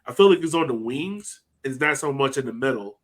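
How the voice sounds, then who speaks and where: A man of middling pitch chats about his soccer team on a podcast. He talks loosely, hedging and reaching for words as he goes. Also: lively intonation, a little fast. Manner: hesitant, fills speech with "like". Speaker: podcast co-host. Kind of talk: casual soccer chat.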